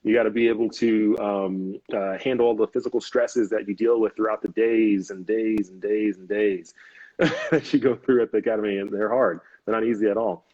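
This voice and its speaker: A man's voice: on the low side, fairly even intonation, normal speed, a little mellow, breathy edge, no room sound. Laid-back man on a muffled call. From United States. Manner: easygoing, laughs often, many "um"s and restarts. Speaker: interview guest, Navy officer. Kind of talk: casual live interview. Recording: dull, lo-fi video call audio.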